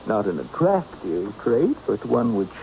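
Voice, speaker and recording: A genteel, reassuring confidant in an old radio mystery. His mellow, creaky voice swings widely as he tactfully humors and doubts. The recording is muffled and noisy. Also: lowish voice, sing-song, heavily acted. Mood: measured, tactful. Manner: measured, hesitant, formally polite, skeptical. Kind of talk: radio mystery drama acting.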